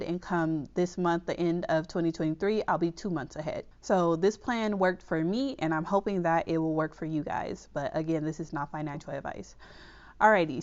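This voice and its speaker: Woman host, slightly nasal with a cold, lower pitch, smooth voice, chatty how-to delivery, dull lo-fi sound. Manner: chatty, reassuring, checks in with "okay?". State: under the weather, slightly nasal. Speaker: budgeting channel host. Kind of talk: casual explainer to camera.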